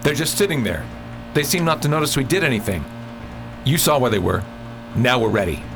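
A man playing a steady, practical crewman in a space opera radio drama, speaking plainly. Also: mid-range voice, fairly quick, creaky, music in the background. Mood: practical, concerned.

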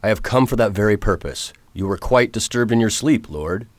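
A man playing a determined, formal Victorian gentleman hero in a radio drama, measured and reassuring. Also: on the low side, expressive, no room sound, natural voice. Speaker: narrator. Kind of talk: radio drama acting and narration.

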